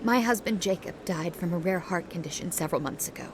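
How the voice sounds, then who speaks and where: An actress playing a stubborn, grieving young widow in a video game drama, defiant and emotional. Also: female voice, on the low side, quick pace, slight background noise, natural voice. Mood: grieving, firm.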